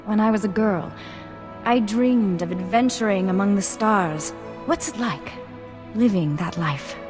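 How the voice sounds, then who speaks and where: A woman's voice: medium pitch, measured pace, music in the background, close to an everyday voice. An actress playing a proper young noblewoman in a video game, speaking in a formal, refined manner. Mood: poised. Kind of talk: video game cutscene acting.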